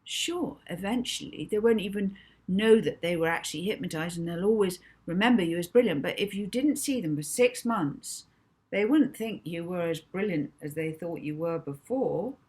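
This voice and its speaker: A woman's voice: lowish voice, lively intonation, moderate pace, a little mellow, breathy edge. A woman voicing a young boy in a children's audiobook, a reassuring best friend who explains things insistently and matter-of-factly. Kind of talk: children's audiobook character dialogue.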